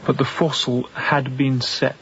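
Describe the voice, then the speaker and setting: A man acts out a young, thoughtful sea captain for a radio drama. His mid-pitched voice has a creaky edge, and his phrasing is formal and measured, with a kindly, introspective temper. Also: little pitch movement, fairly bright tone, lo-fi sound, natural voice. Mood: calm, sympathetic. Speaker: actor in audio drama. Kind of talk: radio drama acting with reflective monologue.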